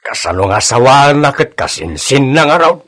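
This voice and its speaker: A man's voice: medium pitch, big pitch swings, moderate pace, a bit bright. An actor with an Ilocano accent playing a chatty, teasing father and friend in a radio drama. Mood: advising.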